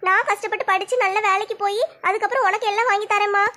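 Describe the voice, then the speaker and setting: A woman voicing a modest, loving teenage daughter in a family skit, with a soft, pleading way of talking. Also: very high-pitched, steady tone, fast.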